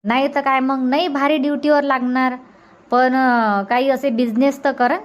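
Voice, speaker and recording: A woman's voice: high-pitched, fairly even intonation, normal speed, natural voice. A woman voicing a caring, practical village mother in a cartoon comedy, chatty and advising, with a rural Vidarbha Marathi accent. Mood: persuasive.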